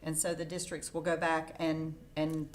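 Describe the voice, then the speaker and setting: A woman's voice: low-pitched, monotone, moderate pace. A woman giving a work briefing as a program director on a conference call. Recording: conference audio with clear background noise.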